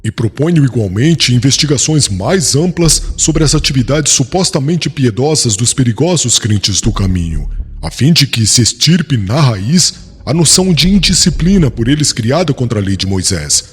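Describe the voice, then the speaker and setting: Male actor as vengeful young ancient prosecutor, very husky, formal declamation, animated intonation, long reverb, audiobook drama. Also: mid-range voice, moderate pace. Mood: stern, indignant. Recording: long cavernous reverb, music underneath.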